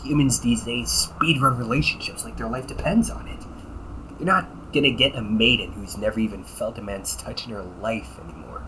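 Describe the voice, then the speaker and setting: A man's voice: medium pitch, normal speed, sharp tone, slight background noise, natural voice, rough recording. A man playing a cynical, teasing vampire cop in an audio roleplay, talking in a slangy, sarcastic and flippant way. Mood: exasperated, sarcastic. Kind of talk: audio roleplay, casual conversational acting.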